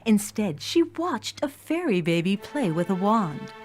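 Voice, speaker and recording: A woman's voice: medium pitch, sing-song, a little slow, a little mellow. A woman storyteller reading a scripted fairy tale for children, with music behind her voice.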